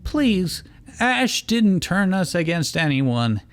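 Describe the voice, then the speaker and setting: A prim female rabbit creature put on by a man, with a raised, light pitch. She scoffs and talks down to whoever she addresses. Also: sing-song, slow pace, full character voice. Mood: scoffing.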